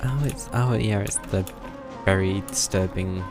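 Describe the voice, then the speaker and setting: Low, creaky British man streaming a game. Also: measured pace. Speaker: game livestreamer. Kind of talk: casual live gameplay commentary, thinking aloud. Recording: game music behind the voice. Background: video game music.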